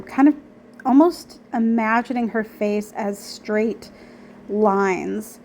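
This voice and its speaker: A young woman talking casually about her painting, a bit nasal and raspy from a cold, chatty and self-deprecating with lots of ums and likes. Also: medium pitch, a little mellow, a little hiss or hum. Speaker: art vlogger. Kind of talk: casual narration over painting footage.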